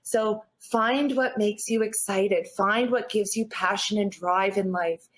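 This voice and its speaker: A woman's voice: mid-range voice, slow pace. A Canadian woman speaking as an interview guest, earnest and encouraging in a motivational chat. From born in Newfoundland, grew up in Nova Scotia, Canada. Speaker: interview guest, natural athlete.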